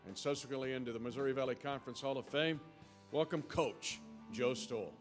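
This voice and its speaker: A man reading scripted, formal award introductions as a ceremony announcer, in a measured presenting manner. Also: mid-range voice, faint music behind.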